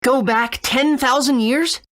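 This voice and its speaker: A woman's voice: sits high, slow pace, fairly bright tone, dry recording, clean studio sound. An actress voicing an earnest, kind and curious young boy hero in a video game. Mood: questioning.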